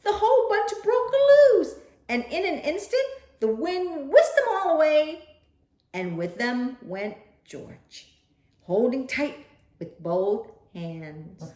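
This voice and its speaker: Expressive, echoey woman reading to children. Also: high-pitched, slow. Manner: simple, direct phrasing aimed at young children. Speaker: host of a children's read-aloud show. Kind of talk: expressive storybook read-aloud and talk addressed to children.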